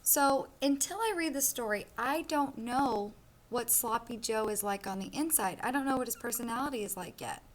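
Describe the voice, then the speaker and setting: Give this a woman with a slightly high voice, speaking as an elementary school teacher. She leads a read-aloud lesson for children and explains each step in simple, plain words. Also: normal speed.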